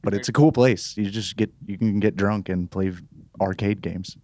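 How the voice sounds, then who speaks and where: A man's voice: lowish voice, steady tone, moderate pace, darkish tone. A young man in a band interview, easygoing and a little hesitant, with lots of fillers. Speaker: band member. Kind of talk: casual interview chat.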